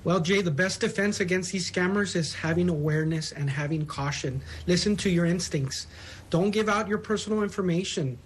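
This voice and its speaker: A man's voice: high voice, brisk, a little hiss or hum, poor audio. A man speaking as a public information officer, explaining things as a guest in a news interview. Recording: phone interview.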